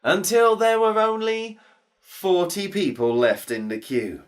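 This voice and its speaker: A woman telling a folk tale to children, playful and expressive. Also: medium pitch. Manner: expressive, playful, drawn-out repetitions. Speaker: storyteller. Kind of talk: storytelling for children.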